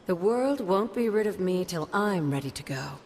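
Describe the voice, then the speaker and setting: A woman's voice: lowish voice, expressive, normal speed, very noisy. An actress playing a proud, tender ghost of a witch in a video game, earnest and self-assured. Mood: proud, resolute.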